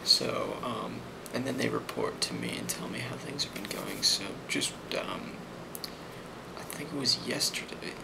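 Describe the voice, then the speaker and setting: A man doing an ASMR role play as a company boss, speaking softly and often near a whisper, in a halting, improvised way with many ums. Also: lowish voice, sing-song. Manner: soft-spoken, often slipping toward a whisper. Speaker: ASMR role-player playing a company boss giving a performance review. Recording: clearly audible background noise.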